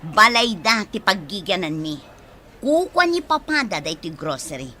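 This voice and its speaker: Woman as a mean stingy aunt, sharp forceful voice, swings all over, ilocano radio drama. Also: medium pitch, very animated, moderate pace, a little hiss or hum. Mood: defensive. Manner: scolding, sharp. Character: harsh, stingy, bullying aunt, quick to scold.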